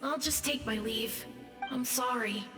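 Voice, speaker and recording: An echoing, far-off, fairly high voice of a gentle ghost played by a woman in an anime game. It speaks slowly and sorrowfully beneath clear music. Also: lightly acted. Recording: echoey, distant voice under music.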